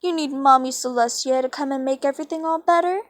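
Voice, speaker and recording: A woman's voice: sits high, quick pace, no room sound, studio quality, close to an everyday voice. A young woman voicing a mocking, theatrical male chaos creature from a cartoon fan story. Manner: mocking, mock-innocent, theatrical. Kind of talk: amateur read-aloud of cartoon fan fiction.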